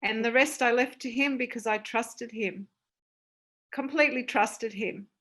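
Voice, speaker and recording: An older woman from Australia speaks as a guest in an online interview. Her voice is a little high in pitch, and she talks as an author discussing her work. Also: a little slow, fairly bright tone. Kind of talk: interview answers in an online panel.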